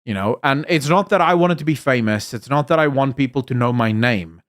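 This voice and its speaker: A young adult man from South Africa whose Afrikaans accent has been smoothed by American and British influences. He hosts a casual live stream, reading chat messages aloud. His opinions are emphatic, with frequent fillers and restarts. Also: mid-range voice, a little fast. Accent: Afrikaans South African, mixed with American and British. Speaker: livestream host reading chat.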